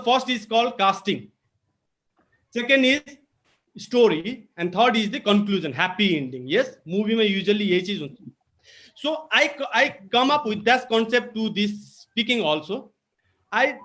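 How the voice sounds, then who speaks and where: A man with a Nepali accent leads a public speaking webinar over a video call. His voice sits notably high for a man. He presses his points home emphatically and repeats them. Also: animated, moderate pace, pushed and bright. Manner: emphatic, repetitive teaching delivery with code-switching. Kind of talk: webinar lecture.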